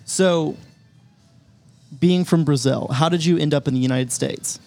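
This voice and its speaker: Male co-host with a gravelly voice, joking, trips over his questions. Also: a little high, a little slow, darkish tone, soft background music. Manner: joking, hesitant restarts. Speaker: podcast co-host. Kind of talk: casual interview. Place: brewery.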